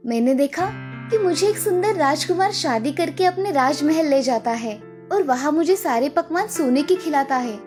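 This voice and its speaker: A man's voice: very high voice, animated, moderate pace, a little mellow, faint music behind, voice pushed up. A man voicing a proud, spoiled young village woman who is haughty and dreamy, for a cartoon. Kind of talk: cartoon voice acting.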